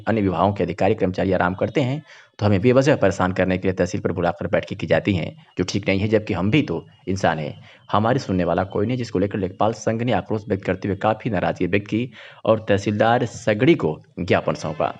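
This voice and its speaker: Male regional news voice-over, low-pitched, slightly lo-fi sound, reading from a script. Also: fast talker, a little mellow. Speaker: news reporter and narrator. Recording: dull, muffled voice-over.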